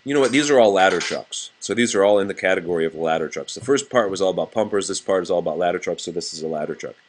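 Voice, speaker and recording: A man's voice: deep voice, very fast, slight background noise. A man reading a nonfiction children's book aloud to a child in a plain, explanatory way.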